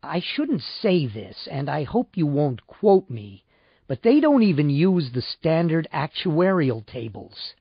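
An unctuous male insurance salesman from a novel, put on by a male narrator. He is fussy, pompous and easily shocked, with deference in a high, animated voice that swings widely. The recording is dry. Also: unhurried, creaky, few pauses, husky, dry recording, highs cut off, full character voice. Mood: confidential.

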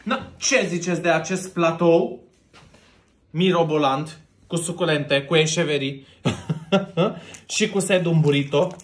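A man's voice: on the high side, long pauses. A man in his thirties from Romania hosts a playful mock cooking show about plants, laughing often and drawing out gushing words while working with his hands. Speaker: host of a plant arranging show. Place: home room with plant wallpaper. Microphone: camera at a few feet. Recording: echoey room.

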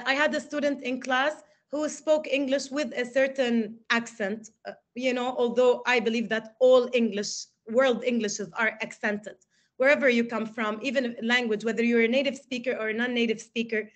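Woman university lecturer from Lebanon, slightly high voice, reflective webinar delivery. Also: fairly even intonation, moderate pace. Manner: reflective, asks many rhetorical questions. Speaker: English lecturer.

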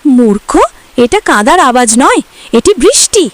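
A little cartoon chick, acted by a woman, very high and swooping in pitch. The young bird sounds eager and easily frightened, recorded close and dry over music. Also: sing-song, unhurried, no echo. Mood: anxious, curious. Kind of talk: children's cartoon story acting.

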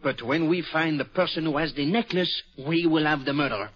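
A man's voice: fairly high pitch, a little fast, breathy edge. An actor playing a fussy, French-accented male detective in a 1940s radio drama, speaking with precise, musing politeness. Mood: poised. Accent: French-accented English. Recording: dull old radio broadcast.

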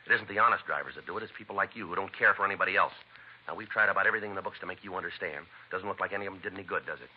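Man playing a fast-talking, low-voiced American police sergeant. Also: natural voice. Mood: stern, scolding. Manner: clipped, low-key, matter-of-fact. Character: stern, fed-up, no-nonsense police sergeant. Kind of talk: 1950s radio drama acting and narration. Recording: muffled vintage radio recording, faint noise.

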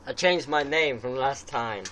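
A man's voice: medium pitch, measured pace, fairly bright tone. Joking young man at the TV. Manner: joking, playful, teasing. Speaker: gamer playing with a friend. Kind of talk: casual banter over gameplay. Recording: home video with faint background noise.

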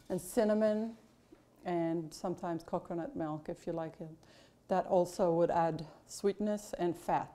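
A woman's voice: fairly low pitch, mellow. A middle-aged woman chef giving a casual cooking demonstration in a large kitchen classroom, chatty and explanatory with lots of fillers.

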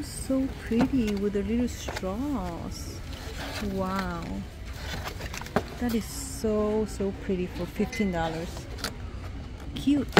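A young woman chatting casually and excitedly to her phone camera while shopping and then eating out. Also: a little high. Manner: chatty, excited, exclaims over cute things. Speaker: vlogger. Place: busy store and fast-food restaurant. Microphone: handheld phone camera. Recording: noisy public place ambience.

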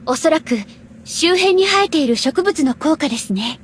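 Polite high-voiced anime woman. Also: expressive, normal speed, a little hiss or hum. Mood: calm. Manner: polite, attentive. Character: polite, observant, caring young anime woman. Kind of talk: anime game voice acting.

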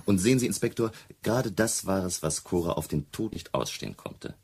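Radio play casting: touchy gentleman witness, creaky, badly hoarse-edged bass, emphatic and defensive. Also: expressive, fairly quick, heavily acted. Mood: disdainful. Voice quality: deep, gravelly. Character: touchy, indignant gentleman friend of a missing singer. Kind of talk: German radio play acting.

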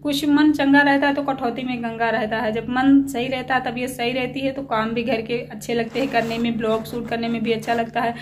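Woman, fairly high even-toned voice, tired and a bit breathless, rambling casual vlog chat, noisy phone recording. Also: fairly quick, hardly any pauses. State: unwell with a chill, tired, slightly breathless. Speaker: small family vlogger. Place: at home, alone. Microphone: handheld phone.

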